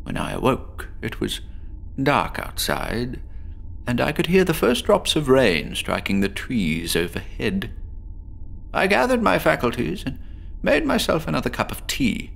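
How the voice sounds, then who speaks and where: A gravelly man, a chatty patient in a horror radio play, as a man voices him, rambles cheerfully through his tale. His pitch swings up and down in lively turns, and he keeps slipping in little chuckles. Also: unhurried, full character voice. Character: chatty, apple-obsessed middle-aged male patient. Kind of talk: horror audio drama.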